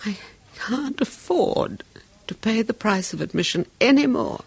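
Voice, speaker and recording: An anguished, husky-voiced wife. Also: female voice, a little high, expressive, measured pace, exaggerated voice. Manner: pleading, emotional. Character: anguished wife leaving her husband. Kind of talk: 1970s radio drama acting.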